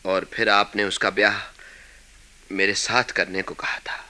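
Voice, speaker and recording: An actor playing a vengeful, boastful and desperate suitor in a Hindi radio play, with a theatrical, vehement delivery. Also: male voice, on the low side, level tone, unhurried, pushed and bright. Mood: bitter, determined.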